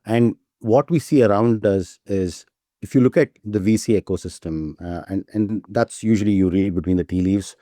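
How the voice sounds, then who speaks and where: Upbeat man with a low, mellow voice. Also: normal speed, no room sound, clean studio sound. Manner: enthusiastic, repeats "right?" as a tag. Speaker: startup CEO, guest. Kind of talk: casual interview talk.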